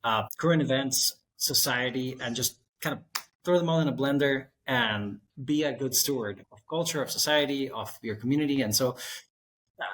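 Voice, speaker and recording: A man in his thirties from Costa Rica, an architect guest telling his career story in a relaxed, winding way. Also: mid-range voice, normal speed, low-quality recording. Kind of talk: conversational interview.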